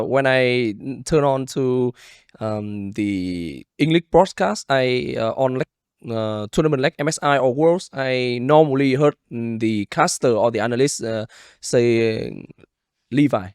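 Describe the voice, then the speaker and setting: A man with a Vietnamese accent chatting casually as a podcast guest, searching for words in halting second-language English. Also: medium pitch, smooth, no room sound, studio quality. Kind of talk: casual chat.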